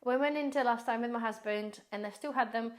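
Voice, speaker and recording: A woman with a slightly raised pitch talks viewers through her grocery haul in a loose, rambling vlog style. Her voice is a little hoarse, as if she is losing it. She speaks to viewers directly and calls them guys. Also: brisk. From based in the UK. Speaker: prepper vlogger.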